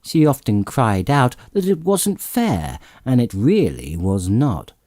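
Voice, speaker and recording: A man narrating a classic story as an audiobook, reading in an expressive, theatrical way with dramatic emphasis. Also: medium pitch, takes it slowly, dry recording, clean studio sound.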